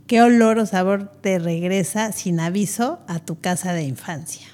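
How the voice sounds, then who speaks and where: A woman interviewing a guest, warm and encouraging in her questions. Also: medium pitch, moderate pace. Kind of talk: casual interview conversation.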